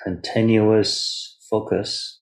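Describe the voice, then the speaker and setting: A man with a low voice gives guided meditation instruction as a Buddhist teacher. He speaks very slowly, in short measured phrases. Long pauses separate each step of the instruction. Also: flat delivery. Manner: refers to himself in third person as teacher.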